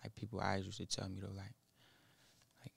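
A nineteen-year-old man, a rapper guest in a small studio, speaking haltingly into a boom mic with many fillers. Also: monotone, gravelly, slightly rough. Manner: halting, mumbled, full of fillers. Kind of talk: casual interview. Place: small studio with foam wall. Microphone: studio mic on boom arm, headphones.

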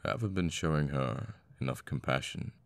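A man playing a cocky assassin boyfriend in an intimate ASMR audio roleplay, murmuring and sweary, with sighs and pauses. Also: rumbling low, vocal fry, dry recording. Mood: musing. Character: cocky young male assassin, flippant, menacing, secretly a devoted boyfriend.

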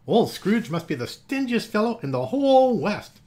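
A man's voice: high-pitched, big pitch swings, normal speed, a little mellow, voice pushed up. A man voicing a gossipy female cow townswoman in a storybook, emphatic and chatty.

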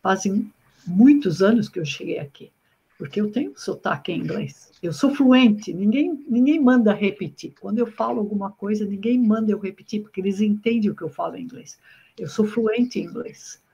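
Middle-aged woman from brazil, husky voice, reflective, frequent restarts, muffled video-call audio. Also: medium pitch, normal speed, dark tone. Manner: reflective, restarts often, repeats herself. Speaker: engineering professor, guest. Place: home room with bookshelves. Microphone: webcam on video call.

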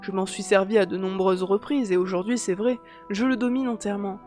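A woman's voice: medium pitch, steady tone, fairly quick, a little mellow, faint music behind, lightly acted. A woman voicing an arrogant, irritable teenage boy with an uneasy streak, as character dialogue in an audiobook. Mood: shaken, uncertain. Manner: curt and self-important, later hesitant.

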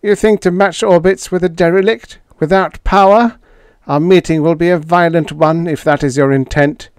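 A man voicing a frantic, hissing alien who raves in a shrill, high voice. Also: fairly even intonation, normal speed, clear, resonant tone, dry recording, clean studio sound, lightly acted. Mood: agitated. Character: frantic hissing alien male, fearful and dramatic.

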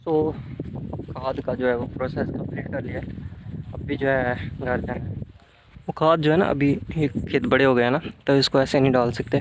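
Young male vlogger with a flat, even delivery, giving easygoing explanations and slipping English words into his speech, recorded outside on a handheld phone. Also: mid-range voice, rough recording. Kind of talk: casual walking vlog narration. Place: outdoors among sugarcane fields and dirt paths. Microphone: phone camera held at arm's length. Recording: outdoor phone audio with clear background noise.

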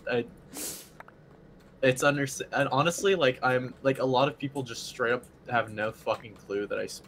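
A man's voice: mid-range voice, moderate pace, fairly bright tone. A young man whose first language is French, chatting casually and laughing while gaming with a friend. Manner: laid-back, laughs often, swears casually. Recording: faint background noise.

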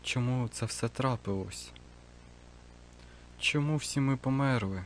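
A man putting on the voice of a mournful ghost of a dead victim, who speaks in a slow, dragging, accusing way. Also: medium pitch, a little hiss or hum, lightly acted.

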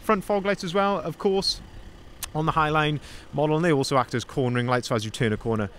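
A man's voice: a little high, fast, fairly bright tone. Upbeat van salesman, faint outdoor hum. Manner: upbeat salesman pointing out features and flaws. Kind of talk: walkaround vehicle review. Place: outdoors at a van dealership lot and inside a van. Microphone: handheld phone camera, filming first-person.

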